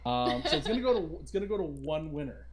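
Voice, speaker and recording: A man's voice: fairly high pitch, very animated, fast talker, over a noisy crowd. A man hosting a casual livestream podcast, talking informally and addressing his viewers as guys. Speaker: podcast host.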